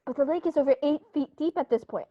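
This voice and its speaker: A woman playing a fussy English gentleman, high-pitched. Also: quick pace, no room sound, phone-quality sound, close to an everyday voice. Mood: flustered. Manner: fussy, exclamatory, easily rattled. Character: flustered upper-class English gentleman. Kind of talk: 1930s radio play acting.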